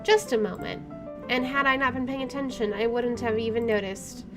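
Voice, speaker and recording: Higher-pitched woman reading a game aloud. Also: moderate pace. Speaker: gaming video host. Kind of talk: let's play, reading narration aloud with casual asides. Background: game music.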